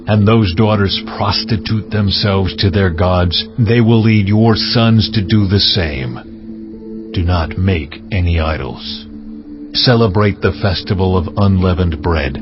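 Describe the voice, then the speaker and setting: An actor playing a solemn God who lays down law with stern, measured authority in a dramatized scripture audiobook. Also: male voice, low-pitched. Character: God, solemn commanding male deity laying down law. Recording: dull audio under clear music. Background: music.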